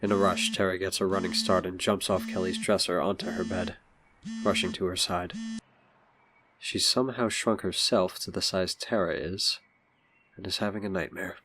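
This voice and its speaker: Male story narrator, deep voice, scripted delivery with faint noise behind. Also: steady tone, moderate pace, pushed and bright.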